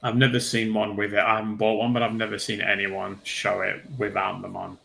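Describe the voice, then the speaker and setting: A man's voice: on the low side, level tone, fairly quick, creaky, pushed and bright, slight background noise. A man talking casually as a panel guest. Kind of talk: casual hobby chat.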